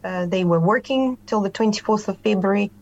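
Woman, Ukrainian accent, professor on a panel, measured and earnest, slightly muffled audio. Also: medium pitch, a little mellow. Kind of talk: panel discussion.

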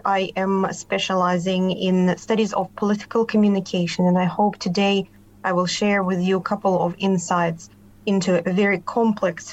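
Woman, Ukrainian accent, professor on a panel, measured and earnest, slightly muffled audio. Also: fairly low pitch, a little mellow. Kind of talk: panel discussion.

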